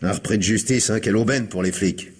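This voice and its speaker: Husky, low-voiced cynical male ex-convict. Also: fast. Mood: sneering defiance. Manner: sneering, slangy. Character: cynical male ex-convict manservant, bitter and defiant. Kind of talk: old French radio crime drama acting.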